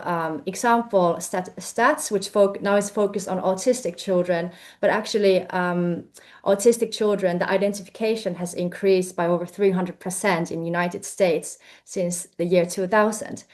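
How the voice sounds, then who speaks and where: A woman's voice: on the low side, steady tone, normal speed, a little mellow. A young woman from Finland with a Finnish accent, presenting her research in a hesitant, filler-heavy way over a video call. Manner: hesitant, many fillers and restarts. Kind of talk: presentation talk.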